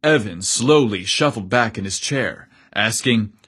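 A man narrating a story in a measured, descriptive storytelling manner. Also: on the low side, lively intonation, fairly bright tone, husky.

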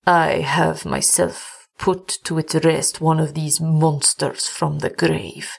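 Woman putting on Karel, a slow, grim old manservant. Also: low-pitched, fairly even intonation, creaky, slightly rough, full character voice. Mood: grim, foreboding. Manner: slow, solemn, grave.

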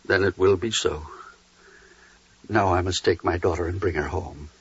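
A man's voice: sits low, fairly even intonation, normal speed, a bit bright, husky, no room sound, heavily acted. An actor playing a troubled, humble, pious biblical father, earnest and theatrical in 1940s radio drama style. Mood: resolved, gentle. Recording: muffled, dry vintage 1940s radio recording.

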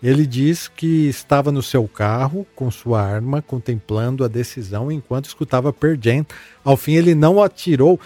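A man hosting a casual podcast. He chats in a relaxed way and reads listener messages aloud, with many fillers and repeated words. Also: medium pitch, normal speed, no echo, soft background music. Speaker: podcast host.

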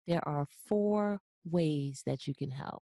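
Woman, podcast host, upbeat, chatty, fairly low voice, dry recording. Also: slow, dark tone, no room sound. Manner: enthusiastic, repeats praise. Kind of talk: casual interview, upbeat.

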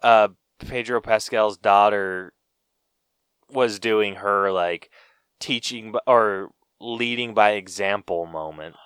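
A man co-hosts a podcast and talks through a movie in a relaxed way, with plenty of fillers. He speaks slowly in a smooth, clear voice. The recording is dry and close. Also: lowish voice, no room sound. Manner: relaxed, with frequent fillers and mild swearing. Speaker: podcast co-host. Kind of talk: casual movie review chat.

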